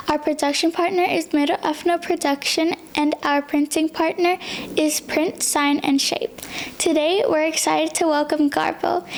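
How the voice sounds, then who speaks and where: A woman hosting an interview show, reading a scripted intro and asking questions in a measured, friendly way. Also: high-pitched, creaky, slight background noise. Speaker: host.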